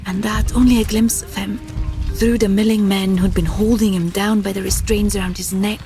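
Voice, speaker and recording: A woman's voice: mid-range voice, steady tone, moderate pace, warm tone, faint music behind. A woman narrator reading a scripted fantasy story.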